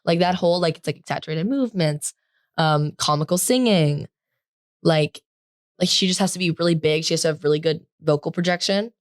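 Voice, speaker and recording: A teenage girl co-hosting a podcast in casual chat, talking loudly and rambling with lots of "like" fillers. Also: low-pitched, fairly quick, dry recording, clean studio sound. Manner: loud, projecting, rambling with many "like" fillers. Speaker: podcast co-host.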